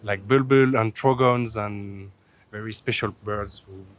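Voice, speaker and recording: A man from France with a French accent, answering casually as an interviewed traveler, pausing between phrases. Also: on the low side, fairly even intonation.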